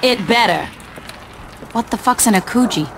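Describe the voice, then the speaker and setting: A woman's voice: a little high, lively intonation, moderate pace. A woman playing a tough, blunt, casual gang boss in a video game cutscene. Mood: blunt, sceptical. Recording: loud background noise.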